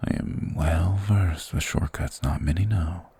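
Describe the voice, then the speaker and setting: A man voicing a sinister, soothing forest spirit in a fantasy ASMR roleplay, speaking in a hushed, formal, hypnotic and coaxing manner. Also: deep voice, unhurried, full character voice. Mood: soothing, persuasive. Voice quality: gravelly, breathy whisper.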